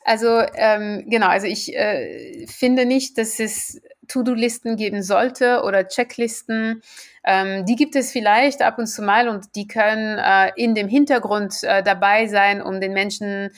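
A woman's voice: mid-range voice, a little slow. Woman, French accent, native French speaker, podcast guest expert, earnest, emphatic repetition. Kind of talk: podcast interview answers.

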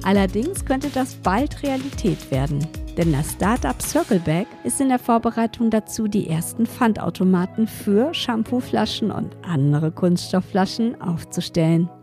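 A woman hosts a business podcast in a friendly, upbeat way, with a pitch that sits in the middle of her range. Music plays clearly beneath her voice. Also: expressive, moderate pace, hardly any pauses, dark tone. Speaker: podcast host and PR lead.

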